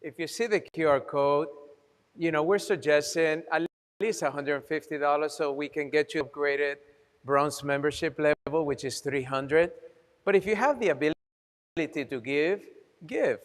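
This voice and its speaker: A male co-host with a Colombian accent and a fairly high voice makes a fundraising appeal. He speaks to the audience in a big, reverberant hall. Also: normal speed. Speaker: event co-host. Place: large event hall. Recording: echoey hall PA sound.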